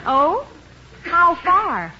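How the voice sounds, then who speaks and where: Indignant young wife, bright and animated, 1950s radio comedy on a hissy old recording. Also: female voice, very high-pitched, very slow, dull audio, exaggerated voice. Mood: probing, hurt. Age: young adult. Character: indignant young housewife, hurt, jealous and sarcastic.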